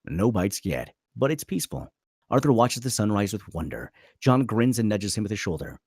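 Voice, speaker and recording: A man's voice: lowish voice, sing-song, normal speed, a little mellow, husky, dry recording, studio quality. A man narrating a screenplay dramatically at a table read.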